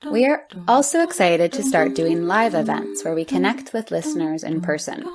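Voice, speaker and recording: A woman in her forties speaks as a podcast host. Her voice sits a little low for a woman, and she moves between prepared copy and conversational questions. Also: expressive, moderate pace, over a noisy crowd. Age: 40s. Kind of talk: scripted podcast intro and conversational interviewing.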